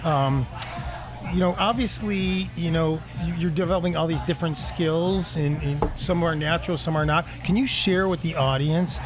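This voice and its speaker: A man's voice: a little high, moderate pace. A man co-hosting a podcast, playful and pushy, rambling through his questions with many fillers. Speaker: podcast co-host. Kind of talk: casual interview.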